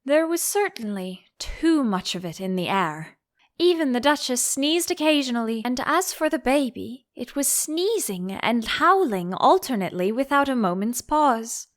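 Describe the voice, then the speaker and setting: A woman reading a children's storybook aloud as an expressive audiobook narrator. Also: on the high side, moderate pace.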